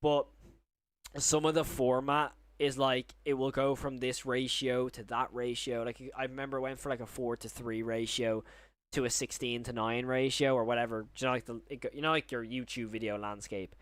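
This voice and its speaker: A man's voice: mid-range voice, little pitch movement, moderate pace, no room sound. A young man chatting casually on a live stream as he reacts to videos and chat, rambling and often rephrasing himself mid-thought.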